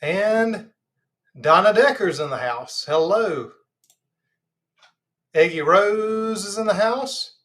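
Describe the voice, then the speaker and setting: A Southern man from Georgia, stuffed up in the nose, hosting a live health chat in a relaxed, rambling way with lots of fillers. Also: sits high, takes it slowly, pauses often. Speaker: host of a live health channel. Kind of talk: casual livestream chat.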